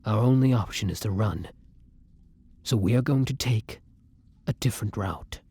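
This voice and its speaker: A man playing a kind, tormented vampire in an intimate roleplay audio drama, murmuring softly and breathily in formal, old-fashioned phrasing. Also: lowish voice, normal speed, breathy edge. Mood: grave, pensive. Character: kind, tormented male vampire, tender and protective lover.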